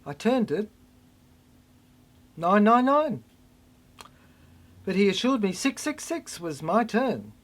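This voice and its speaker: A performer delivering a theatrical spoken-word monologue in fragmented, halting phrases with dramatic swings. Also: mid-range voice, sing-song.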